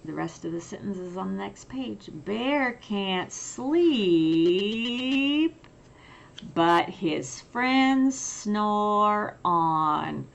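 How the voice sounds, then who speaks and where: A woman reads a children's story aloud in a mid-pitched voice with plenty of creak. Her delivery is extremely slow, expressive and emphatic. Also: lo-fi sound, a little hiss or hum. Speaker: picture-book reader.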